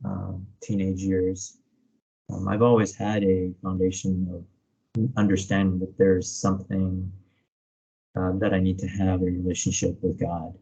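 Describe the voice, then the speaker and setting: A man's voice: sits low, mellow. A man speaking reflectively in an interview over a video call, searching for his words and often checking in with "right?". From born in Vietnam, grew up in America from age five. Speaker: interview guest, spiritual practitioner. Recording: dull, lo-fi online call audio.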